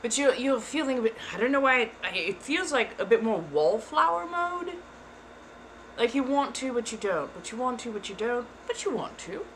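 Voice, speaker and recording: A woman's voice: on the high side, big pitch swings, normal speed, a little hiss or hum, poor audio. A woman hosting live tarot card readings, speaking throughout in a theatrical put-on persona accent and calling her viewers darling. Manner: theatrical, calls listeners darling. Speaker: tarot reader and livestream host.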